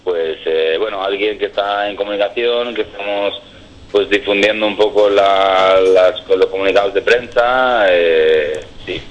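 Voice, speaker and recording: A man's voice: on the low side, unhurried, poor audio. A man speaking by phone as a guest on a radio show, eager and rambling with many restarts. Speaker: guest, protest camp spokesperson. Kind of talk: phone interview. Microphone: telephone.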